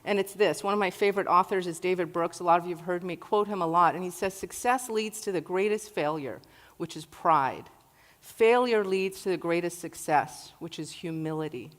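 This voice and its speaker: A woman's voice: lowish voice, normal speed. Woman speaker in a reverberant hall. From Iraq. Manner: earnest, warm-hearted storytelling. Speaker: guest speaker. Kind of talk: personal talk to an audience. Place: large hall with an audience.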